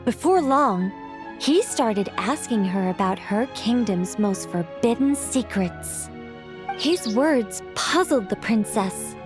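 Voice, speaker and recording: An ancient female angel who tells tales in a video game. The voice sits somewhat high, with measured, eloquent phrasing and a playful, wry edge. Music plays behind the words. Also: animated. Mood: solemn, storytelling. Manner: eloquent, measured, gently teasing. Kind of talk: video game character acting, storybook narration.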